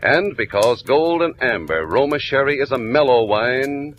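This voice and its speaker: An American man announcing a 1940s radio commercial with a polished, measured sales delivery. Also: mid-range voice, expressive, fairly bright tone. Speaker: radio announcer reading sponsor ad. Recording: noisy vintage 1940s radio recording.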